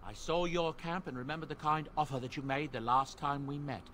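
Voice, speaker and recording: A man's voice: fairly high pitch, fairly even intonation, fairly quick, pushed and bright, slight background noise. An actor playing a genial, talkative middle-aged merchant in a video game, with folksy, courteous, flowery salesman's patter. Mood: ingratiating, cheerful. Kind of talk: video game character acting.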